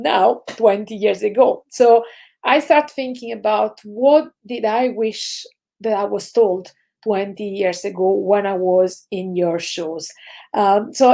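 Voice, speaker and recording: A woman of about forty from southern Italy with an Italian accent, giving a prepared motivational talk to students in a reflective way, stressing the start of phrases and trailing off softly. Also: mid-range voice, a little slow, gravelly. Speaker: speaker sharing career lessons with students.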